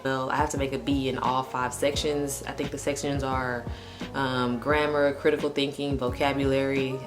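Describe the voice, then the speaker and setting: A woman in her late twenties with a notably low voice chats openly to her camera, rambling and candid with plenty of "like" and "um". Faint music plays softly under her talk. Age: 20s. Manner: candid and chatty, heavy use of "like" and "um". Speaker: vlogger. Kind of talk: casual personal talk to camera.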